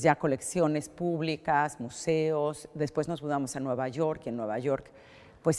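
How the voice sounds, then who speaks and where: Low-voiced Mexican woman in a reverberant hall. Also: darkish tone, clear, resonant tone. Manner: thoughtful, with many restarts and fillers. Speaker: art collector. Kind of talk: interview answer.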